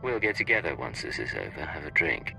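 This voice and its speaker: Game spy hero, low, sharp-edged voice, self-assured, cool, faint music. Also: male voice, very animated, brisk, creaky, full character voice, low-quality recording. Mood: cool, confident. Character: confident, smooth-talking male secret agent, flirtatious and cool. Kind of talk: scripted video game acting.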